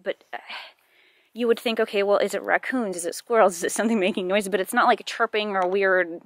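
A young adult woman hosting a casual exploring vlog, chatty with uneasy, hushed asides. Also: medium pitch, fairly even intonation, a little fast. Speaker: vlog host exploring abandoned buildings. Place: abandoned house and its yard. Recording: noisy handheld camera audio with clicks.

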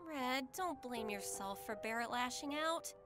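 A woman voicing a sweet, gently consoling young woman in a game parody, soft and soothing. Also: a little high, animated, unhurried, over loud music.

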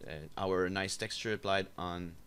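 A man giving an unscripted software screencast tutorial, explaining step by step in a halting, hesitant way. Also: deep voice, fairly even intonation, clean tone. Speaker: tutorial instructor. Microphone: voice-over for a screen recording.

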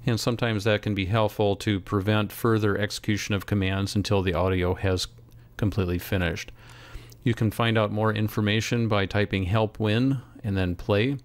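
A man narrating a software tutorial as an instructor, in a measured, step-by-step way. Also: fairly low pitch, steady tone, no room sound. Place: close, dry voice-over for screen recording.

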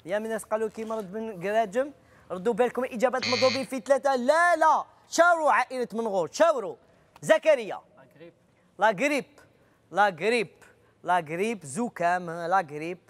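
A man's voice: high-pitched, expressive, fast talker. A middle-aged man with an Algerian accent, hosting a TV game show on a big studio stage and teasing the contestants.

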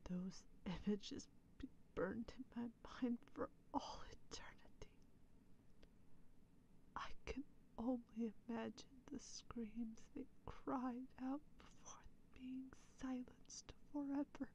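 Woman acting a slow, whispering vengeful vampire woman. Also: on the high side, fairly even intonation, a little mellow, muffled recording. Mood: grieving, bitter. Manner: slow, breathy, solemn, formal delivery. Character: ancient vengeful female vampire, brooding and proud. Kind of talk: whispered ASMR role play monologue.

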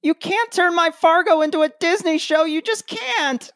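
A woman's voice: very high voice, normal speed, dry recording, studio quality. A woman playing a dramatic, exasperated, grumpy character. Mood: exasperated pleading. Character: exasperated, grumpy woman who dislikes people.

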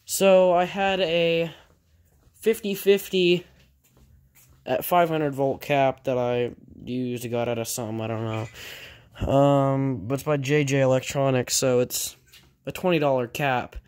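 A man talking casually off the cuff like a hobbyist repairer showing his work, halting and correcting himself as he thinks aloud. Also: medium pitch, steady tone, darkish tone, smooth. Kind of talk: casual off-the-cuff workshop vlog. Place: indoor workshop at a cluttered bench.

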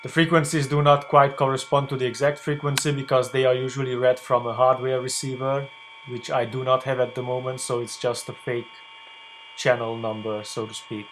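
Male screencast presenter, mid-pitched, hesitant and unscripted tutorial delivery, noisy recording with hum. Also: flat delivery, brisk, fairly bright tone. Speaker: ham radio video blogger demonstrating software. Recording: clear background noise with hum.

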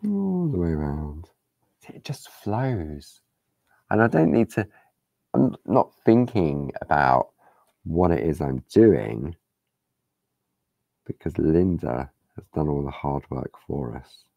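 A man hosting a live craft-along, chatting casually and rambling as he works, with a hoarse voice after days of talking. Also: a bass voice, very animated, slow pace, pauses often, mellow, dry recording, lo-fi sound. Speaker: craft demonstrator. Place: craft studio table. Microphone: overhead camera on hands, speaker unseen.

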